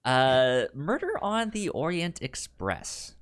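A podcast co-host in relaxed, casual conversation. The pitch moves around in a very lively way at a middle register. Words and first syllables often get repeated in a stammer. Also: takes it slowly. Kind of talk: casual film podcast chat.

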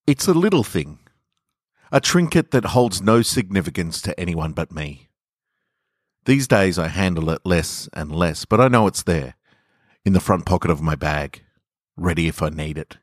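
A man's voice: low-pitched, no room sound. An Australian man hosting a storytelling podcast, speaking close to the microphone. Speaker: podcast host and author reading own stories. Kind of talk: casual intro and measured literary story reading. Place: garage.

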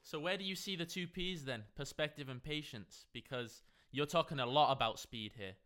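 Bright, crisp-voiced interviewing man. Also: a little high, normal speed. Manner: engaged, asks follow-up questions. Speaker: podcast host. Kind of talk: interview questions.

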